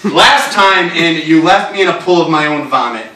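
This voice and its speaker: A man giving a live reading of stories and poems in a wry, deadpan manner, delivering absurd lines seriously. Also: fairly high pitch, moderate pace, noisy background. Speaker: writer reading his own work aloud. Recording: roomy echo, distant mic.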